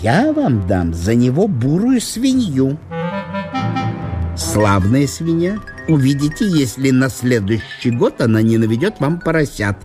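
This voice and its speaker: A man playing a courteous, wheedling gentleman, swooping intonation. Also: mid-range voice, sing-song, measured pace, music in the background. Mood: insistent, bargaining. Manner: ornate, courteous, persuasive. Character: smooth-talking, wheedling, dignified small-town gentleman, Ivan Ivanovich. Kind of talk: radio literary solo performance.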